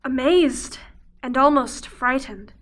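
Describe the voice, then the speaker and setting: A woman's voice: high-pitched, slow. A young woman acting in a radio play as an anxious, guilt-ridden scientist. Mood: hesitant. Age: young adult.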